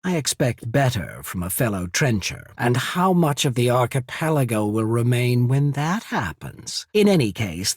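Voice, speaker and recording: An actor playing a shrewd, courteous naval emissary in a fantasy video game, speaking in a measured, formal, persuasive way. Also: male voice, mid-range voice, slightly rough, dry recording, studio quality. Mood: indignant. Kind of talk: scripted fantasy game dialogue.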